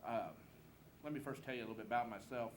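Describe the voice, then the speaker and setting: A man's voice: medium pitch, quick pace. A man from southeast Missouri with a Southern American twang, giving a campaign speech as a political candidate. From Southeast Missouri, United States. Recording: noisy live event room recording.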